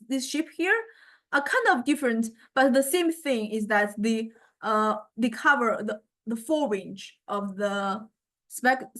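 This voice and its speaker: A woman lecturer giving an informal software demonstration, explaining conversationally and often hesitating as she searches for words. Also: a little high. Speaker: university music technology lecturer.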